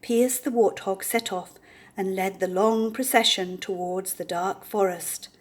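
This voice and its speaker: An older woman reading a children's picture book aloud with deliberate, theatrical expression. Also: medium pitch, steady tone.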